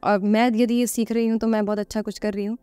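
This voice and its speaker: A woman's voice: mid-range voice, level tone, quick pace, dark tone, clean tone, dry recording, faint music behind. A woman guest on a podcast, explaining earnestly and conversationally, repeating phrases for emphasis and posing rhetorical questions.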